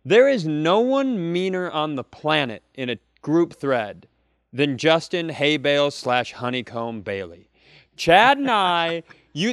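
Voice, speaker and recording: Animated, higher-pitched man bantering on a podcast. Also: dry recording, studio quality. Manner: riffing, profane, self-deprecating. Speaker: comedy podcast co-host. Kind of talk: bantering casual comedy chat.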